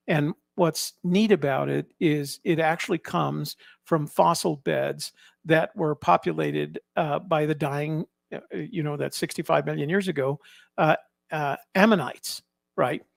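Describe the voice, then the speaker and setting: Guy talking thru photos for a travel vlog, kinda high gravelly voice, halting explainer. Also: no echo, studio quality. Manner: halting, explanatory, repeats and rephrases. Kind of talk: casual narrated photo commentary.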